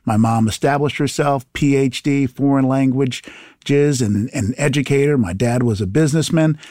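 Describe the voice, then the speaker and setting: Measured, earnest man as a political guest. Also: mid-range voice, mellow, no room sound, studio quality. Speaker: guest, attorney general. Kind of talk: political interview.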